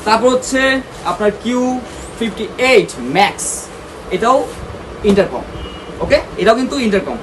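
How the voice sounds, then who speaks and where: A man's voice: high voice, expressive, moderate pace, pauses often. A man with a Bangladeshi accent giving a casual promotional product showcase as a shop presenter, talking straight to viewers with English words and check-in tags mixed in. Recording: clearly noisy, ambient background sound.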